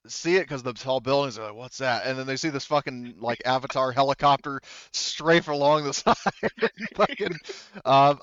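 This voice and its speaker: A man casually narrating and refereeing a tabletop roleplaying game as game master, halting and loosely profane as he improvises. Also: mid-range voice, a bit bright, smooth, dry recording, lo-fi sound.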